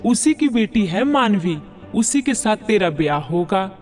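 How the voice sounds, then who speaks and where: A man plays a proud, middle-aged village mother-in-law in a cartoon moral tale. The voice is very high and unhurried, with a boastful, scolding, rustic way of talking. Music plays clearly underneath. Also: very animated, a little mellow. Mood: boastful, eager. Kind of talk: Hindi cartoon moral story dialogue.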